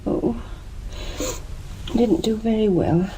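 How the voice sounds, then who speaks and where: A woman's voice: fairly high pitch, slow, warm tone, slight background noise, low-quality recording. An actress playing a groggy, hesitant, apologetic woman in a radio drama. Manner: hesitant, drowsy.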